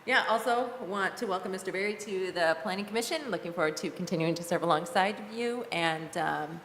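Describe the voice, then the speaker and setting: A woman's voice: fairly low pitch, brisk, a bit bright, a little hiss or hum. A woman on the planning commission giving brief, light welcome remarks. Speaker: commission member. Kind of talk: casual meeting remarks. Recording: distant, echoey hall.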